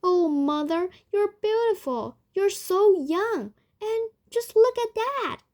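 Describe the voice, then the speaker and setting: Anxious storybook mom, played by a woman reader, shrill, very high, pleading, exclaiming. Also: animated, takes it slowly, a little mellow, exaggerated voice. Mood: amazed. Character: anxious grown daughter and mother, shrill and exclaiming. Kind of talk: children's storybook read aloud.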